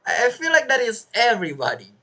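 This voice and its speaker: A woman's voice: fairly high pitch, huge pitch swings, fast, bright, forceful tone. A woman giving casual, amused and teasing commentary while playing a video game, often repeating words hesitantly. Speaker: gamer streamer.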